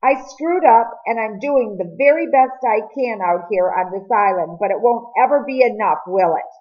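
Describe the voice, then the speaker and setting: A woman's voice: a little high, expressive, normal speed, lo-fi sound, pitched above the performer's own voice, natural voice. A woman reading the part of a remorseful, insistent teenage boy in a storybook, with emphatic, emotional delivery. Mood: desperate, upset.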